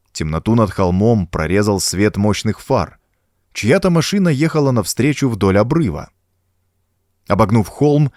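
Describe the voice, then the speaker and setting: A man's voice: lowish voice, a little mellow, no room sound, clean studio sound. A man reading a horror story as an audiobook narrator, in a dramatic scripted style.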